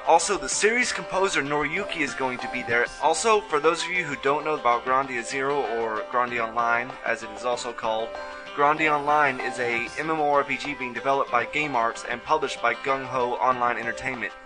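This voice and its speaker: A man's voice: medium pitch, fairly quick. A man hosting a review show, reading scripted commentary on video games with music behind him.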